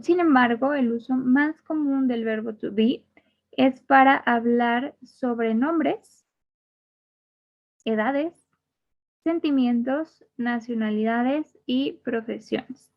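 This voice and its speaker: A woman teaching an English grammar class, repeating each example and then translating it. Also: a little high. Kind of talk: bilingual grammar lesson. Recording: muffled lo-fi audio.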